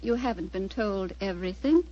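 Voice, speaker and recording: A woman's voice: medium pitch, moderate pace, mellow, close to an everyday voice. An actress playing a proud young English society lady with poised, imperious delivery in 1940s radio style. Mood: composed. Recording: muffled old-time radio recording.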